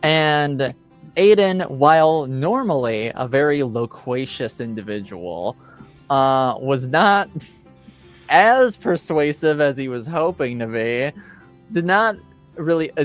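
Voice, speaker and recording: Halting male game master over music. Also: medium pitch. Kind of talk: unscripted tabletop game narration and recap. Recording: clear music under the voice. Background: background music.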